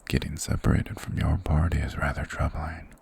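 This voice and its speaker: A man playing a mysterious, courteous monster hermit of the woods in an ASMR fantasy roleplay, speaking in a formal, measured, breathy whisper. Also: rumbling low, fairly even intonation, full character voice. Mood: softly probing. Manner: formal, slow, measured, softly persuasive.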